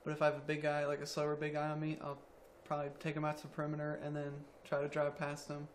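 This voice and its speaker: A young man around twenty, a college student athlete, answering interview questions quietly with many ums. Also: on the high side, completely flat delivery, fast talker, a little hiss or hum. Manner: quiet, soft-spoken, hesitant with ums.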